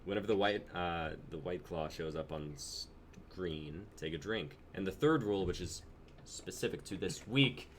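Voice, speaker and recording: Young man, low voice, casual stream host, background noise. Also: unhurried. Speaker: host of a live-streamed reading show. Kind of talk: casual livestream hosting.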